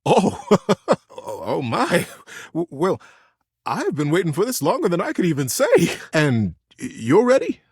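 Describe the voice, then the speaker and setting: A devoted stuffed teddy bear from a video game. The delivery is hugely animated, leaping up and sliding down in pitch, with a slightly husky edge. The words are folksy and earnest, with small hesitant stammers, recorded very dry. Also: male voice, measured pace, no room sound, heavily acted. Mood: touched, tender. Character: devoted, sentimental old childhood teddy bear, folksy and gentle. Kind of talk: video game character acting.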